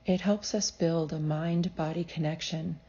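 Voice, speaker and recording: Low, slow, soothing woman guiding meditation. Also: steady tone, lo-fi sound. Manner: soothing, measured, gentle instruction. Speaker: psychologist leading a guided meditation. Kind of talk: guided meditation, scripted.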